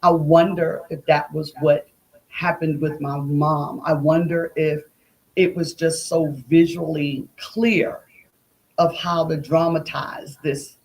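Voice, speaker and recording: A woman's voice: low voice, flat delivery, slow pace, darkish tone, poor audio. Woman telling stories conversationally. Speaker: guest, playwright. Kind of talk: conversational storytelling.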